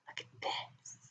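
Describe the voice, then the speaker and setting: A woman reading a children's picture book aloud, with expressive, wondering asides as if talking to young children. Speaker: read-aloud storyteller. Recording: dull lo-fi recording.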